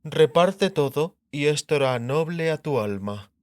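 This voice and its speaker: A man's voice: on the high side, expressive, a little slow, a little mellow, dry recording, clean studio sound. A man voicing a pious, stern queen in an audiobook, solemnly quoting holy sayings to her husband. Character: pious, bespectacled queen, stern and sententious wife. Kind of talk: dramatised audiobook character acting.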